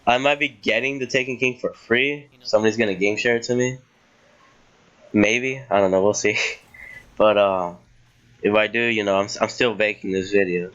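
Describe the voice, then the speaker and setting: A man's voice: lowish voice, steady tone, moderate pace, a bit bright, lo-fi sound. A young man getting sick, ranting in casual voice-over commentary over gameplay, rambling with many fillers and restarts. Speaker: gaming channel host.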